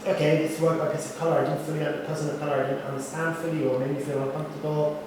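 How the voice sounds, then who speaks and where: A man's voice: fairly high pitch, very fast, background noise. Chatty man in an echoey distant room. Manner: chatty and animated, many asides. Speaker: drag artist and TV writer. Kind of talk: casual conversation. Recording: very echoey room heard from far off.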